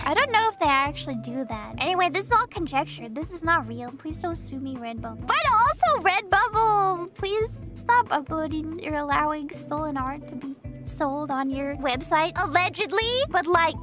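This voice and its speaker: A woman's voice: high voice, bright, forceful tone. A young adult woman chatting casually with her viewers on a stream, rambling and giggly, full of "like".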